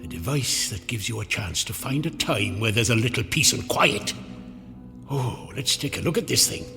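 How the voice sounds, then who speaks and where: Crotchety grumbler, gravelly, wide-swinging pitch, exasperated folksy muttering, old-time radio drama. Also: male voice, expressive, moderate pace, sharp tone. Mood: musing, scheming. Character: grumbling crotchety janitor, irritable, fed up with modern life. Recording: reverberant radio drama with music behind.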